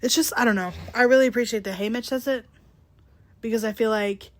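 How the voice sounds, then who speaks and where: A young woman with a slightly phlegmy voice co-hosting a casual book podcast, blunt and quick to swear. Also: a little high. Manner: blunt, swears freely, laughs easily. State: a bit phlegmy. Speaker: podcast co-host. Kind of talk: casual book club chat.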